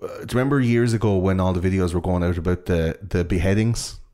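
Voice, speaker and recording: A man's voice: deep voice, quick pace, warm tone. A young man with an Irish accent, chatting casually and explaining things in an enthusiastic, rambling, sweary way.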